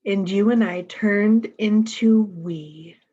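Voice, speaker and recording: Woman doing storytime for little kids, talks right to them, takes it slow, kinda muffled lo-fi audio. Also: medium pitch, dark tone. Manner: addresses children directly with simple questions. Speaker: storytime reader. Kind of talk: reading picture books aloud to young children.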